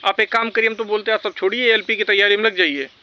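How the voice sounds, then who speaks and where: A man's voice: sits high, steady tone, fast talker, pushed and bright, rough recording. A man talking informally and with strong opinions straight to his viewers, exhorting them and repeating his points for emphasis. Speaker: vlogger giving recruitment exam updates.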